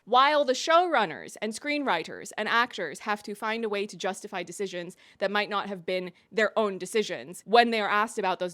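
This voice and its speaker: A woman giving conversational video commentary as a reviewer, putting deliberate emphasis on key words. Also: medium pitch, fairly bright tone, dry recording, clean studio sound. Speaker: video essayist and reviewer on her own channel.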